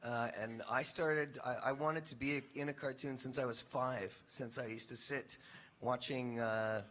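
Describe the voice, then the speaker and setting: A man, a voice actor and former stand-up comic, telling animated, rambling stories on a convention panel. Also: mid-range voice, a little slow. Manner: animated, rambling, self-interrupting.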